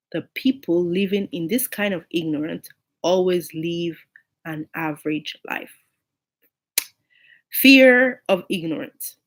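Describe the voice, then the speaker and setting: Hoarse woman preaching with a cold. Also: lowish voice. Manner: earnest, repeats key phrases for emphasis. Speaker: online preacher. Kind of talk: informal sermon broadcast.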